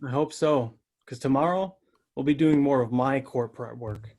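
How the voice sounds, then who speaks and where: A man's voice: mid-range voice, normal speed, darkish tone, natural voice. A man reading the part of a confident, playful military lawyer in a screenplay table read. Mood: businesslike.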